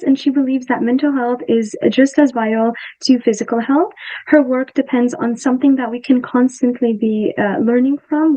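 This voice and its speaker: A woman's voice: a little high, lo-fi sound. A young woman hosting a podcast, reading a guest introduction and asking questions in an enthusiastic, friendly way. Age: young adult. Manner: warm, enthusiastic, with um fillers. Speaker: podcast host.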